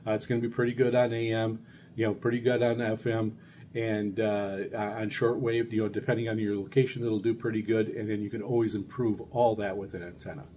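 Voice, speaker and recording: A middle-aged man giving a casual, unscripted product review in a halting, rambling way. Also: lowish voice. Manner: halting, rambling, hesitant delivery. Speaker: radio hobbyist reviewer, show host. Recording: clear steady background noise.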